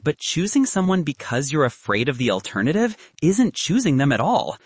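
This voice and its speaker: A man's voice: a little high, very animated, moderate pace, husky, no room sound. A man voicing a young woman physicist in an audiobook, confident and frank, with a dry, advice-giving manner. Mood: frank, serious.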